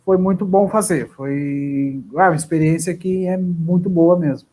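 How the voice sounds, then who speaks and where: A man's voice: fairly high pitch, steady tone, moderate pace, dark tone, rough recording. A man, an actor, chatting casually in a live interview about his career, often restarting his sentences. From southern Brazil. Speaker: actor guest.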